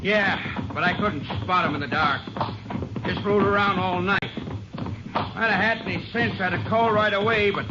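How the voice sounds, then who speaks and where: In a muffled old radio Western, an actor plays a hot-headed country rancher. He speaks in a fairly high voice, rustic, rambling and flustered. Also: fairly even intonation, normal speed, very noisy, natural voice. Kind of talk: 1950s Western radio drama acting.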